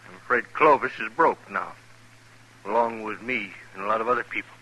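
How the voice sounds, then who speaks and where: An actor playing an agitated, indignant frontier bank owner in a western radio drama, with an American accent and a fretful, scolding manner. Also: male voice, lowish voice, brisk, pushed and bright, a little hiss or hum, rough recording. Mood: dejected.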